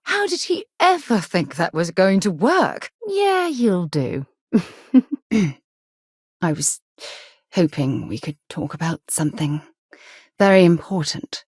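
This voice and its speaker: Composed space explorer lady, lowish voice, articulate, earnest, dry close recording. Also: darkish tone, slightly rough, no room sound, full character voice. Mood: indignant, then friendly. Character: earnest, sharp-minded female space explorer companion. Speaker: video game companion character. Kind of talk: scripted game dialogue acting.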